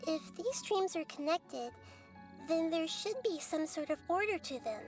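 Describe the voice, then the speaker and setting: Wise little game goddess: high child's voice, soft, measured, gently explanatory, over music. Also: female voice, lively intonation. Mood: thoughtful. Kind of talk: video game character acting.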